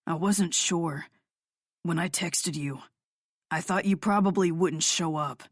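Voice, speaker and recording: A woman voicing a stubborn young man sick from withdrawal, speaking weakly in a low, hollow voice. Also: slow pace, no room sound, studio quality.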